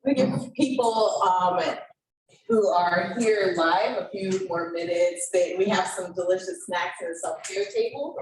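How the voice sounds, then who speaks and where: A woman hosting a community panel discussion, speaking informally and haltingly as she addresses those gathered. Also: fairly low pitch, lively intonation, darkish tone. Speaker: event host. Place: echoey event room. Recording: roomy, distant mic with clear background noise.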